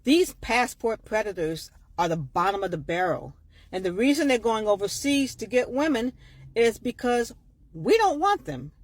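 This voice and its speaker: A woman's voice: a little high, big pitch swings, normal speed, no room sound. A woman commenting in a clip in a blunt, dismissive way.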